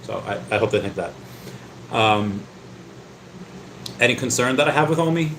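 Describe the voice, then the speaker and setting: A man's voice: medium pitch, expressive, moderate pace, a bit bright, a little hiss or hum. A man hosting a casual livestream, reading out chat comments and rambling, often stammering and repeating his words. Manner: rambling, talkative. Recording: roomy echo.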